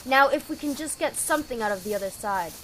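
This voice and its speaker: A composed officer , played by a woman, takes statements politely and formally. Her voice is fairly high, with crickets and clear noise behind it, as in an audio drama. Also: lively intonation, fast, close to an everyday voice, rough recording. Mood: businesslike.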